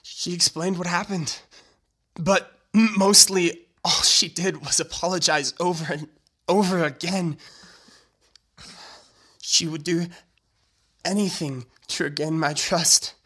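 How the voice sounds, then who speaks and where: A man acting in an audio drama as a doomed young man, loving and resolute, speaking haltingly and earnestly in a breathy, strained voice. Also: high voice, fairly even intonation, bright, forceful tone, exaggerated voice. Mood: tender, resigned.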